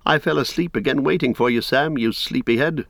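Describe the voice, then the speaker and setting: A gentle, good-humoured young hobbit talks lightly and quickly, as a man reading aloud puts him on. He sits a little above the reader's own pitch. The sound is dry and close. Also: creaky, no echo. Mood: teasing. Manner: light, teasing.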